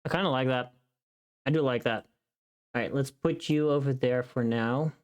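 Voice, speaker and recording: A woman with a very deep voice chats casually on a livestream while she works. Her talk is halting and informal, with little murmurs to herself between remarks. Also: a little mellow.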